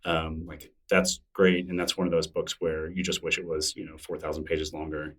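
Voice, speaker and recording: Deep-voiced man musing at length. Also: little pitch movement, moderate pace, a bit bright, distant mic. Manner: thoughtful, rambling with many fillers and restarts. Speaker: interview guest, writer. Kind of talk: casual interview conversation.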